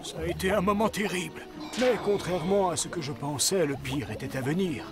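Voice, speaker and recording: Guy doing a fretful cartoon servant, high voice, very formal and dramatic, music under it. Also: a little fast, natural voice. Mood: gloomy recounting. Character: anxious, loyal royal coachman, pleading and deferential. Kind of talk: French cartoon dubbing. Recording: music behind the voice.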